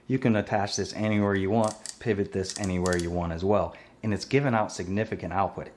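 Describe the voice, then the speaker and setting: A man's voice: fairly low pitch, darkish tone, a little hiss or hum. A man giving a casual hands-on demo of work lights in his garage workshop, speaking plainly and repeating filler phrases. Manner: plain-spoken, repeats "et cetera there". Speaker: tool reviewer.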